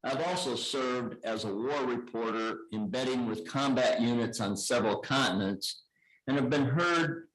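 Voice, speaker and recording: An older man reading formally and deliberately at a public meeting, occasionally stumbling over the written words. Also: mid-range voice, fairly bright tone, rough recording. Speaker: veteran representative at public comment. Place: indoor public board meeting room.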